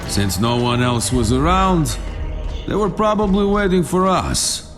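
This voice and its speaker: A man playing a proud former enemy soldier in a video game, speaking in a dry, measured, defiant manner. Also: big pitch swings. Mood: grim reminiscence. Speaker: game character actor. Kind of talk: dramatic game dialogue and reflective narration. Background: loud music, vehicle rumble.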